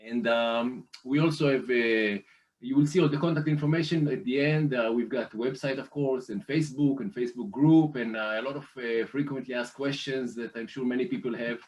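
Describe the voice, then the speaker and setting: A man from Israel with an Israeli accent, a guest walking listeners through a lending program on slides, speaking haltingly with many fillers and restarts. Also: medium pitch, darkish tone, recorded from a distance. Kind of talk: slide presentation over a call.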